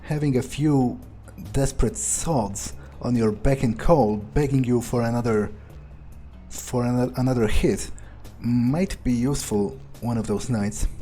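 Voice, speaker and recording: A man acts out a scheming male vampire in a video game roleplay, musing with open contempt. His delivery is unhurried and sneering, in a dark, mellow timbre of medium pitch, foul-mouthed throughout. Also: faint music behind, close to an everyday voice. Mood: scheming, amused. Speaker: game player staying in character. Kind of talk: in-character roleplay playthrough.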